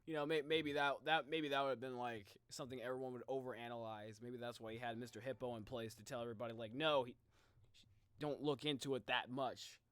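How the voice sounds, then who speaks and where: A man streaming a casual reaction, thinking out loud in slangy talk and addressing his viewers directly. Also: medium pitch, steady tone, brisk, clear, resonant tone, no room sound. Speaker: streamer, video host. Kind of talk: casual livestream reaction chat.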